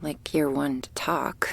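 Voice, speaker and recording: A woman playing a shy, flustered, affectionate young vampire in an intimate ASMR roleplay, speaking softly and haltingly, often near a whisper. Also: sits low, fairly even intonation, full character voice. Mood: embarrassed, defensive. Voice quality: soft, breathy, often whispery. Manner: bashful, halting, nervously giggly.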